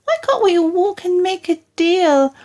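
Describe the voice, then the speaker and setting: A woman's voice: extremely high pitch, lively intonation, a little slow, warm tone, voice pushed up. A woman voicing a small male piglet from a children's storybook, frightened yet cheeky, squealing, pleading and indignant.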